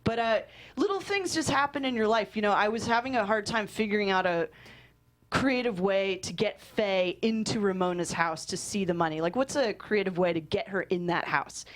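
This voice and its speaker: A woman's voice: medium pitch, moderate pace. A woman screenwriter in a casual interview, giggly and self-deprecating as she chats. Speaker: screenwriter guest.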